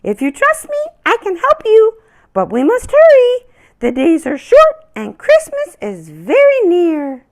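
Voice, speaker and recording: A woman's voice: very high-pitched, wildly swooping pitch, a little slow, voice pushed up, full character voice. A woman voicing a tiny, helpful little bird in a storybook, with a chirpy, urging sing-song delivery. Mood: urgent, helpful. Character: tiny helpful red cardinal bird, eager guide.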